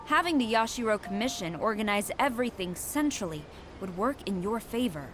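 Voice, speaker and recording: A woman's voice: medium pitch, expressive, fairly quick, fairly bright tone, faint music behind, close to an everyday voice. A woman voicing a stern female commander in a video game, firm and formal.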